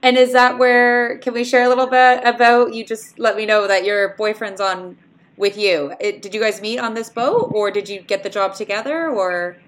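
A woman's voice: fairly high pitch, fairly even intonation, moderate pace, slight background noise. A woman hosting a casual interview, chatty and affirming, often tagging questions with "right". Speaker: host.

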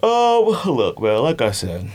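Animated, slangy man chatting on a podcast. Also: mid-range voice, slow. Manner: slangy, animated, acts out imagined quotes. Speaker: podcast host. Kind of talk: casual sneaker podcast chat.

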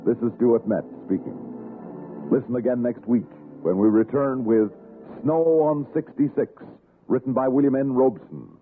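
A man's voice: medium pitch, moderate pace. A man announcing a 1960s radio drama series in a formal, dramatic style. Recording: tinny old radio broadcast, music behind. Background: music.

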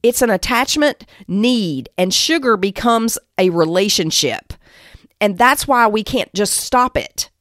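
A woman's voice: medium pitch, expressive, a little slow, a bit bright, no echo. Emphatic female Bible teacher, dry close sound. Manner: emphatic, exhorting, repeats phrases for weight. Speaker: Bible teacher and podcast host. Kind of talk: devotional teaching talk.

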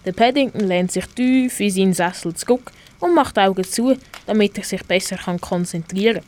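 A woman's voice: mid-range voice, brisk, darkish tone. A teenage girl with a Swiss German accent reading scripted narration for a school radio play.

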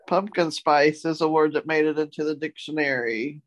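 A woman's voice: low voice, fairly even intonation, moderate pace. A woman co-hosting a casual talk segment, chatting and reading out word definitions. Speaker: co-host leading a word segment. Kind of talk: casual chat, reading out dictionary entries.

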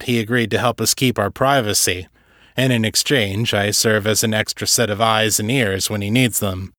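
Man as a measured, wry disembodied old commander. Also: lowish voice, bright, forceful tone, dry recording, clean studio sound. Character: gruff, cagey disembodied ex-commander, folksy and dry.

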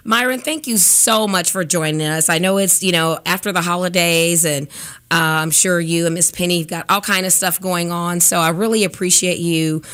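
Raspy-voiced woman radio host. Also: on the low side, steady tone, moderate pace, a bit bright. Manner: chatty, many fillers. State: raspy from sinus trouble. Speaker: radio cooking segment host. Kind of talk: casual radio chat. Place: radio studio.

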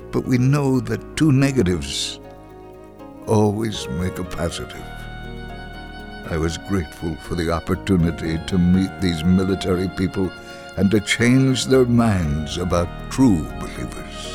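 A man reading a devotional story as a dramatic narrator, deliberate and emphatic, acting out the dialogue. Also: on the low side, darkish tone.

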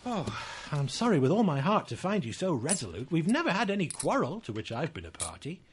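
An actor playing a miser's cheerful, warm-hearted young nephew, earnest and hearty, in a radio drama. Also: male voice, on the high side, huge pitch swings, brisk, a little hiss or hum. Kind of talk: theatrical radio drama acting.

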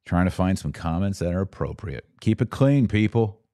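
Very deep, mellow-voiced male podcast host. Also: animated, moderate pace, no room sound, clean studio sound. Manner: conversational, wry joking asides. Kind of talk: casual livestream commentary, reading out viewer comments.

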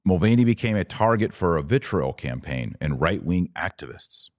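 Deep-voiced American man, emphatic podcast host. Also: very animated, normal speed, a little mellow, dry recording, studio quality. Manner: emphatic, preachy, rhetorical questions. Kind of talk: opinion commentary monologue.

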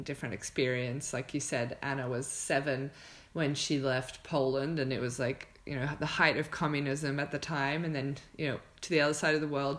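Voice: mid-range voice, fairly bright tone. A podcast host talking in an informal, reflective, conversational way, thinking aloud. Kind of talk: casual conversational podcast talk.

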